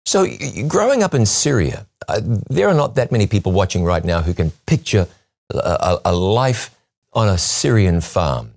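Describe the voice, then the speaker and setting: A man's voice: deep voice, sing-song, moderate pace, creaky, darkish tone. A middle-aged man hosting a broadcast interview in a studio, speaking into a lapel mic. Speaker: talk show host and interviewer. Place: television studio, seated at a desk.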